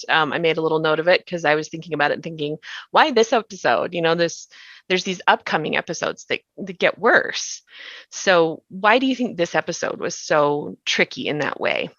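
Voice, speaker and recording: Low-voiced, creaky woman podcast host. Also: lively intonation, normal speed, sharp tone, no room sound, highs cut off. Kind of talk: conversational behind-the-scenes discussion.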